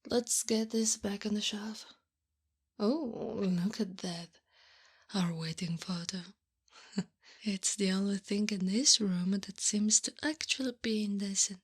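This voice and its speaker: A woman playing a doting, teasing, bossy wife who mothers her husband, in a soft, often whispered ASMR roleplay with coaxing pet names and gentle scolding. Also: mid-range voice, slow, husky. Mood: dry, teasing, then fond. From Italy.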